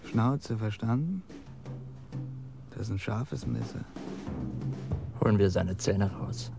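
A menacing young male mugger in a German radio crime drama. The voice is low with a gritty creak, mocking and coarse, with faint music underneath. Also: takes it slowly. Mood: menacing, taunting. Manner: taunting, coarse street slang.

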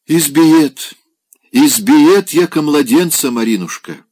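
Drunk sentimental old clerk, pitched high and loud, in a storybook reading, as a male reader puts him on. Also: animated, a little slow, no room sound. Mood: weepy. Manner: churchy archaic phrasing, wailing.

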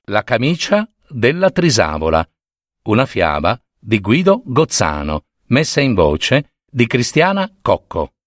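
A man's voice: mid-range voice, huge pitch swings, measured pace. A man reading a scripted introduction as the announcer of a storytelling series. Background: music.

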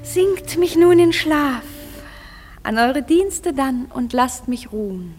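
A woman's voice: sits high, expressive, slow pace, a little mellow, faint music behind. An actress playing the regal fairy queen in a classic verse radio play, giving orders to her fairies with a gracious, commanding delivery.

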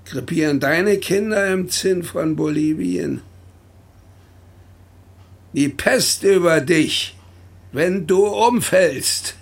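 A man's voice: high voice, slow pace, vocal fry, long pauses, slightly rough, full character voice. A man playing a sardonic, defiant working-class veteran in a declamatory radio drama monologue. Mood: fierce conviction. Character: embittered, defiant working-class veteran who knows hard life.

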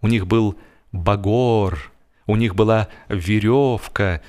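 A man narrating a humorous literary story with expressive, deliberate, measured phrasing. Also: fairly low pitch. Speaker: audiobook narrator.

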